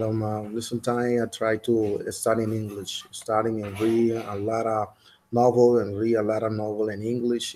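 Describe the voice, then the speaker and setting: A man with a Colombian Spanish accent answering exam questions in halting English, hesitant, with many restarts, over a video call. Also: lowish voice, warm tone, slight background noise, poor audio. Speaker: police officer, exam candidate. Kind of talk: halting second-language answers.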